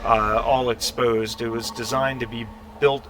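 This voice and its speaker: A man acting as game master, narrating a tabletop role-playing game in a halting way, searching for words as he describes scenes. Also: medium pitch, little pitch movement, background noise.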